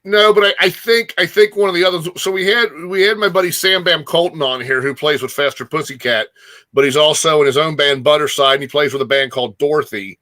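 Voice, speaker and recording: A man's voice: a little high, fairly quick, pushed and bright. A man co-hosting a music talk show in casual, conversational chat.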